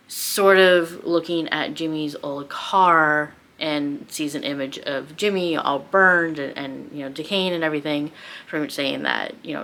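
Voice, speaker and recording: A woman with a low voice talks through a book in a casual, conversational vlog style. She thinks aloud as she goes, searching for words and gently mocking herself. The delivery is loose and unscripted. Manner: self-deprecating, rambling, thinking aloud, giggly. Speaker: book vlogger.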